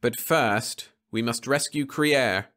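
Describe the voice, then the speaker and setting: A man's voice: mid-range voice, fairly bright tone, voice pushed up. A man voicing a gloating pale alien invader. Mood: cold triumph. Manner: precise, measured menace. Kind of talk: audiobook character voice.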